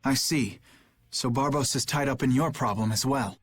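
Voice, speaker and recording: A man voicing an earnest, dutiful young knight in an anime video game, speaking formally and politely with a slightly husky voice. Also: medium pitch, moderate pace, close to an everyday voice. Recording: background music behind the voice.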